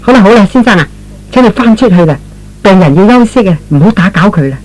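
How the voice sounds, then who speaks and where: A man voicing a brisk, firm female hospital nurse in a radio drama. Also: high-pitched, expressive, poor audio. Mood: firm, polite. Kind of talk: Cantonese radio drama acting.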